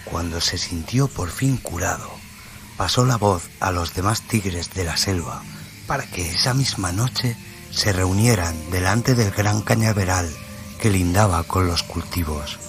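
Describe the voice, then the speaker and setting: A man narrating a story in a dramatic radio-play style, solemn and suspenseful, with phrase endings that drop to a hush. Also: low voice, unhurried. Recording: music bed under the voice. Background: music.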